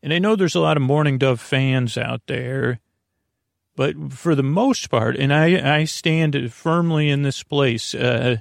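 A man hosting a sleep podcast in a rambling bedtime monologue, with a creaky voice and a hesitant, lulling, meandering manner. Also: medium pitch, measured pace, dry recording, studio quality.

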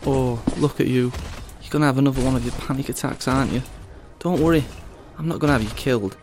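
An actor playing a controlling male villain in an audio drama, falsely tender, condescending and quietly threatening. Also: medium pitch, fast talker, music in the background, lightly acted. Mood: bitter, mock-tender.

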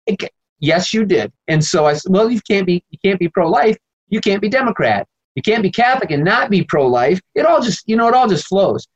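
American man, somewhat high voice, priest guest, conversational, emphatic, rambling, indignant. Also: animated, a little fast, lo-fi sound. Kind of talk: animated conversational interview answers.

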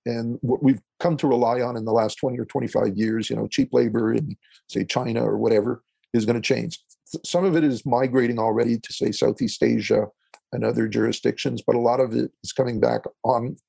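A man from Eastern Ontario whose first language is French, answering interview questions as a consultant in a halting way, with many fillers and restarts. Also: mid-range voice, vocal fry, warm tone, slightly rough, dry recording. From Eastern Ontario, Canada. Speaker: guest, consultant.

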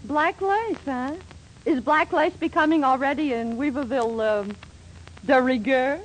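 An actress playing a brassy, middle-aged wisecracking shopkeeper with a New York Yiddish accent, in 1940s radio comedy style. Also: female voice, sits high, measured pace. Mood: amused, brassy. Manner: wisecracking, teasing, animated. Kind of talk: 1940s radio sitcom acting. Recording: noisy old radio recording, studio audience.

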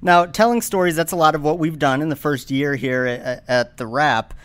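Loud upbeat male podcast host. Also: fairly high pitch, moderate pace, clear, resonant tone. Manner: upbeat, steers the conversation. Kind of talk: casual podcast chat.

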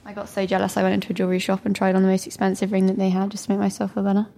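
A young woman who talks quickly, with a gravelly fry in her voice. She co-hosts a podcast, gushing and teasing her friend in easy, casual chat. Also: medium pitch, completely flat delivery, dark tone, slight background noise. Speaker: podcast co-host.

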